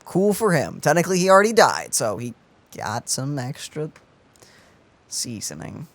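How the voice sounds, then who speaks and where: A man's voice: a little high, wildly swooping pitch, moderate pace, dry recording. A man streamer making playful, offhand remarks while reacting to a game. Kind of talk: casual game reaction chat.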